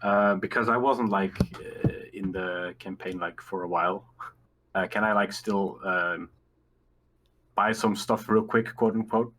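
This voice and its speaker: A man with a fairly low voice plays a tabletop roleplaying game. He talks casually with the group, and there is noticeable noise behind his voice. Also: fairly even intonation, measured pace, fairly bright tone, rough recording.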